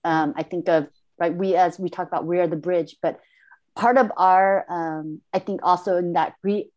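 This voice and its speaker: Thoughtful Korea-born woman, halting speech. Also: fairly low pitch, steady tone, a little mellow, muffled recording. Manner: thoughtful, halting, frequent restarts. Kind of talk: reflective conversation.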